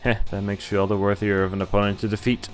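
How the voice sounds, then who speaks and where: Woman, very low pitch, game streamer, expressive reading aloud, casual muttered swearing, soft game music in background. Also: fast talker. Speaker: streamer playing a visual novel. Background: visual novel background music.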